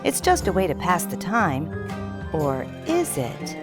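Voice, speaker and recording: A woman's voice: lowish voice, wildly swooping pitch, unhurried, music in the background. A woman giving a theatrical scripted narration for an audio drama.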